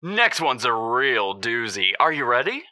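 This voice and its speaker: An actor playing a mock-friendly radio co-host with a showy presenter's delivery, teasing and quietly needling. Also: medium pitch, very animated, measured pace, no room sound, studio quality, full character voice. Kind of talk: audio drama in radio show style.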